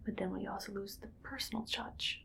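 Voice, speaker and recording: A woman playing a musing, matter-of-fact mad scientist in an ASMR medical roleplay, murmuring in a breathy whisper with creaky edges. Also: mid-range voice, fairly even intonation, sharp tone. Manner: unhurried, murmuring to self, clinically detached. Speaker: ASMR performer.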